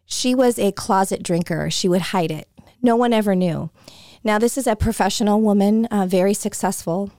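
A woman hosting a solo podcast in a chatty, conversational way, her voice hoarse and coming and going. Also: mid-range voice, moderate pace, a little mellow, no room sound, clean studio sound. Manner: chatty and conversational, with frequent restarts. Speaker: podcast host. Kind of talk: casual solo podcast talk.

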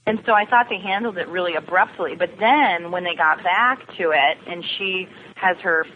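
A woman's voice: fairly low pitch, normal speed, slight background noise. Lo-fi woman chatting about TV. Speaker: podcast guest. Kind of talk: casual TV discussion. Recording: dull phone-like lo-fi connection.

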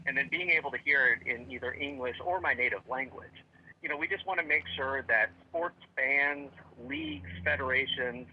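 A man's voice: medium pitch, slight background noise. Male interview guest, rambling delivery full of fillers, lo-fi audio. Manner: rambling, many fillers and restarts. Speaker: tech company guest. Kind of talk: interview answers.

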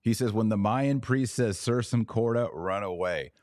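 A man hosting a podcast, giving sarcastic, animated commentary and now and then leaping to high, incredulous exclamations. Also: dry recording, clean studio sound. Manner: slow deliberate Latin prayer recitation. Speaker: podcast host.

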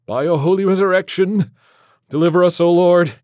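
A man's voice: mid-range voice, expressive, unhurried, dry recording, clean studio sound, voice pushed up. A man voicing a steadfast, commanding priest exorcist in an audiobook, solemn and declamatory. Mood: commanding exorcism. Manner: solemn, declamatory prayer and rebuke.

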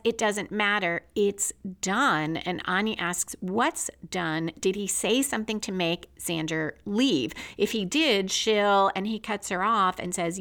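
A woman hosting a podcast, reading a scripted recap and story analysis in a measured, explanatory way. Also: medium pitch, fairly bright tone. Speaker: podcast host.

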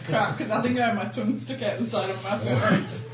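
A woman's voice: mid-range voice, fairly even intonation, fairly quick, music in the background. A woman with a lisp playing a tabletop game in casual chat. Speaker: player. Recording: roomy distant mic with loud noise.

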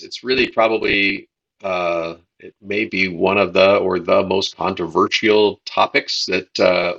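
An American man hosting a religious podcast in a casual, halting way, often repeating words as he goes. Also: deep voice, fairly bright tone, lo-fi sound. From United States. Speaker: podcast host.

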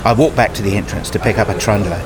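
A man's voice: fairly low pitch, fast talker, noisy background, natural voice. A man playing a self-deprecating, nerdy father who tells his own story in a radio play in wry, formal wording. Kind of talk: first-person radio play narration.

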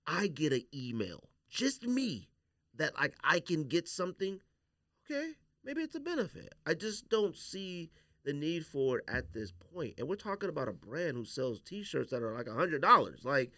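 A man hosting a podcast, talking casually with slangy, opinionated, animated delivery. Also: on the high side, moderate pace, no room sound, studio quality. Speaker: podcast host. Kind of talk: casual sneaker podcast debate.

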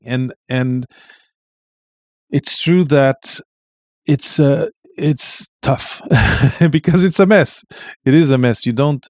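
A man's voice: medium pitch, a little mellow, no room sound, studio quality. Hesitant male interview host. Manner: hesitant, many restarts and fillers. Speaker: podcast host. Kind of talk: live-streamed interview.

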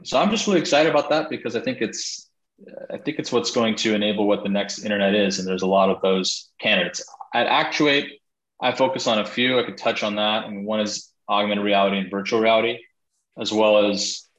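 A man's voice: on the low side, brisk, a bit bright, roomy sound, low-quality recording. A man, a startup founder, answering interview questions over a video call in a thoughtful way with many fillers.

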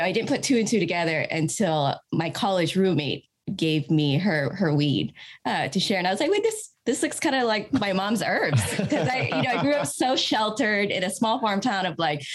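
A woman guest telling stories in a chatty, animated way, laughing often. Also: mid-range voice, normal speed. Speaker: guest, cannabis advocate. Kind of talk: chatty interview storytelling.

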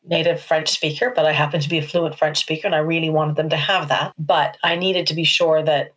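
Measured woman language expert. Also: low-pitched, fairly even intonation, fairly bright tone. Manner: measured, explaining points step by step with restarts. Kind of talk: explanatory talk.

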